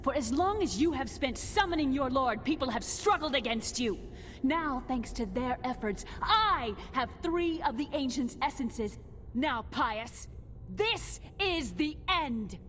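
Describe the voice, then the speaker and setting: An actress playing a defiant, resolute heroine in a video game, speaking solemnly in a long reverb. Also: female voice, sits high, lively intonation, unhurried, fairly bright tone. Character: defiant, resolute human heroine facing an ancient evil. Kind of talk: video game cutscene acting. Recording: long cavernous reverb, faint noise.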